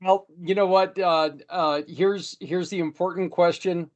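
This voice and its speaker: A male host with a fairly high voice, chatting and joking his way through the interview. The sound is dry and close, with no room echo. He grew up in New Jersey and Colorado. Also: fairly even intonation, measured pace, highs cut off. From New Jersey, raised in Colorado. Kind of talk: casual interview chat.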